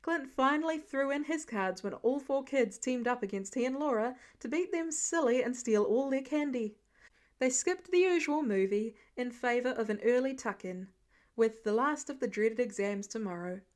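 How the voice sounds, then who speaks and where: High-voiced woman reading a story. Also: animated, normal speed. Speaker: audiobook-style fan fiction reader. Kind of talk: expressive scripted story narration.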